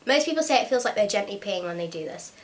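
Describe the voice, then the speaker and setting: Young woman, slightly hoarse from a cold, frank and chatty vlogger, mid-range voice. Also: mid-range voice, lively intonation, quick pace. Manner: chatty, frank and practical, with frequent ums. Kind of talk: casual instructional vlog.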